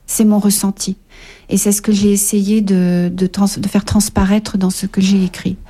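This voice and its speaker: A woman's voice: mid-range voice, flat delivery, normal speed, mellow. A woman from Paris, an author guest on a radio interview, speaking in a hesitant, reflective way with many fillers. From Paris, France. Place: radio studio.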